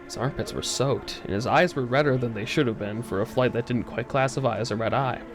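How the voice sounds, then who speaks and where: A man narrating a first-person horror story in a dramatic, tense, expressive way with pauses for suspense. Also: mid-range voice. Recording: eerie music under the voice. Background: background music.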